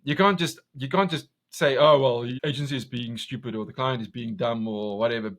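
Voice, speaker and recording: A man from South Africa with an Afrikaans accent, a commercial director talking passionately in a podcast interview, loud and full of restarts. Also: medium pitch, fairly quick. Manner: intense, passionate, talks loud, many restarts.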